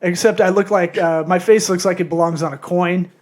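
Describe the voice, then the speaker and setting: Male podcast host, notably high-pitched, mumbly and jokey, loose interview banter at his own expense. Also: fairly even intonation, a little fast, a little mellow. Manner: self-deprecating, joking, profane. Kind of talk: casual comedic interview chat.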